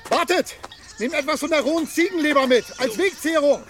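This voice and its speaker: A foreboding goatherd in a radio drama, warning insistently and haltingly. The voice swoops widely and animatedly, with faint music behind it. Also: female voice, sits high, expressive. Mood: urging. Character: foreboding goatherd villager warning of a coming storm. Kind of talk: German radio drama acting.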